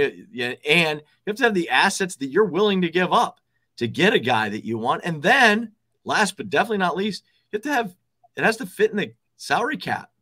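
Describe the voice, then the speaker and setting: A man's voice: high voice, pushed and bright. A man in his thirties, a TV sports director, giving confident, emphatic sports analysis over a video call from an office. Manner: emphatic, confident, repeats words for stress. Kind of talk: casual sports analysis chat. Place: office with desks, indoors.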